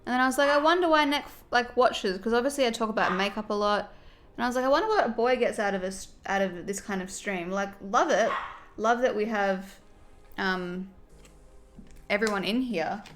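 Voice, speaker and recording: A woman's voice: medium pitch, a little fast, a little hiss or hum. A woman streaming casually while doing her makeup, reading viewer comments aloud and reacting to them in a chatty, friendly way.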